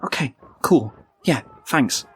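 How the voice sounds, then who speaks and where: A man's voice: mid-range voice, animated, slow pace, slightly rough, heavily acted. A man playing a vain, sassy would-be dandy in a tabletop game, huffy and flippant, as if put upon. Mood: startled, sulky.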